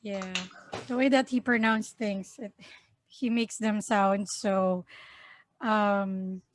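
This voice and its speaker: Slow-talking woman host from the Philippines. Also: mid-range voice, fairly even intonation. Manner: playful, slow and deliberate. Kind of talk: casual chat, quiz questions.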